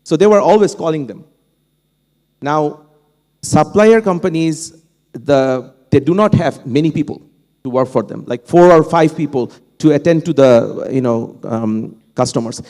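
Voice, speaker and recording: A man from Bangladesh, a native Bangla speaker with a Bangladeshi accent, speaking on a panel in a halting way, with many fillers and restarts. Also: fairly high pitch, mellow. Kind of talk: unscripted panel talk. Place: conference hall. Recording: long hall reverb.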